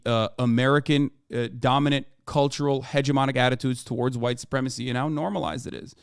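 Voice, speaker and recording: A man's voice: mid-range voice, no room sound. A man in his thirties giving emphatic, profane, lecturing political commentary on a livestream into a close desk microphone. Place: small room at home, indoors.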